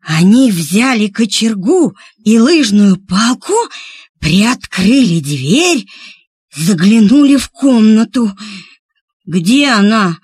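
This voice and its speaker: A woman narrating a scripted children's story in an expressive, theatrical manner with drawn-out emphasis. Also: on the high side, breathy edge, no room sound, studio quality.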